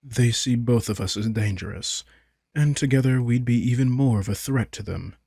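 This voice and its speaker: A man as a gravelly, smug vampire king. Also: mid-range voice, moderate pace, no room sound, studio quality. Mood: casual explaining. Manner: casual, teasing, softly condescending. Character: vampire king, male, smug, casual, manipulative charmer. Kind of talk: intimate roleplay audio drama.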